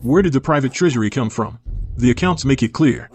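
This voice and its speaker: A man playing a composed, shrewd imperial prince who argues formally and deliberately in a costume court drama. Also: medium pitch, lively intonation, a little mellow, a little hiss or hum, close to an everyday voice. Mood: composed, accusing.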